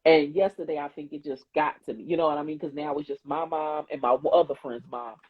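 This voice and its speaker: A woman's voice: sits low, fast, slightly rough, no room sound. A woman, a therapist, telling stories in casual podcast chat, swearing freely and acting out what others said. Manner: animated storytelling, swears freely, acts out quotes. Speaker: podcast co-host; therapist and CPR instructor. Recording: tinny phone-like line, dry.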